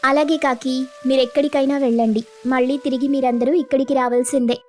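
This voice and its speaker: A high-voiced mother sparrow from a cartoon fable, acted by a woman. She is stubborn and sure of herself, speaking firmly and expressively over background music. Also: fairly even intonation, a little fast, darkish tone, natural voice. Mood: stubborn, dismissive. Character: stubborn, confident mother sparrow protecting her chicks.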